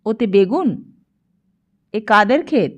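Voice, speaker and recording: A woman's voice: mid-range voice, lively intonation, slow, muffled recording. A woman voicing an authoritative estate steward in his thirties for an audiobook, curt and questioning.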